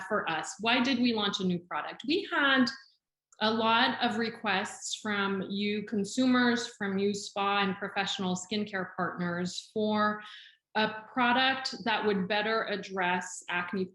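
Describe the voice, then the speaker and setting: A woman's voice: mid-range voice, a bit bright, reverberant, poor audio. A middle-aged woman hosting a webinar over a video call, friendly and chatty with frequent fillers. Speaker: skincare company head hosting a webinar. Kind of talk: webinar presentation. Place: video call from home or office. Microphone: video call microphone.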